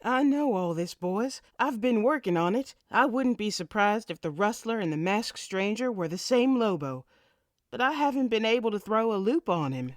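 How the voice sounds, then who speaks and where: A woman's voice: medium pitch, lively intonation, moderate pace, no room sound, studio quality, close to an everyday voice. A woman playing a proud, feisty sheriff in a comic western drama, with firm, folksy cowgirl talk. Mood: weary, firm. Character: proud, feisty female sheriff of the Old West.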